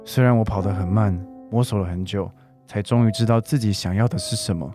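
A man in his forties played by a young male actor speaks in a low voice over plucked guitar. He is a teasing, persuasive and earnest suitor in a radio drama. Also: a little fast, lightly acted. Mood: romantic, pleading.

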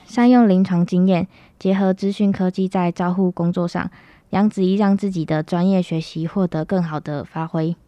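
A woman's voice: fairly low pitch, fairly even intonation, normal speed, warm tone, lo-fi sound, a little hiss or hum. A woman campus radio reporter with a Taiwanese Mandarin accent, reading a scripted news report. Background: music.

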